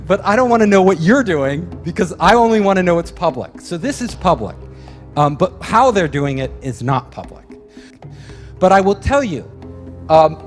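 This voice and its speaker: A man giving a lecture in a chatty way, chuckling between points. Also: high-pitched. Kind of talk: public lecture.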